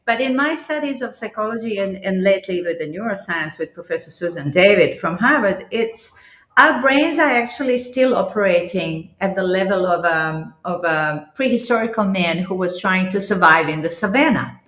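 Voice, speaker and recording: A woman's voice: mid-range voice, animated, moderate pace, fairly bright tone, echoey room. A woman from Croatia, a change specialist, speaking as an interview guest in a reflective, searching way. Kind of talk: interview over video call.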